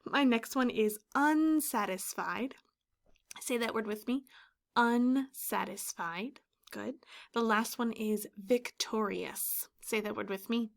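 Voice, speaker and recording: Higher-voiced woman teaching through a read-aloud. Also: vocal fry. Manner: explanatory, deliberate enunciation. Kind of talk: instructional children's read-aloud. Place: small room indoors, classroom or office decor.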